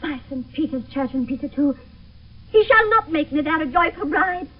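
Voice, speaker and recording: A woman's voice: sits high, lively intonation, moderate pace. An actress playing an impassioned, grieving young noblewoman in love, in classical Shakespearean radio drama. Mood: defiant, pleading. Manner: impassioned, declamatory verse delivery. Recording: tinny, narrow-band old radio broadcast.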